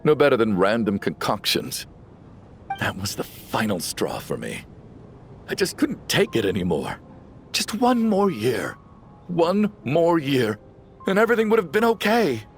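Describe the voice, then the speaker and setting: An actor playing a grieving middle-aged father, anguished and pleading, his voice swinging from low and sombre to raised cries, over faint game music. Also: huge pitch swings, a little slow. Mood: somber. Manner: anguished, pleading, rising to shouts. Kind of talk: video game voice acting.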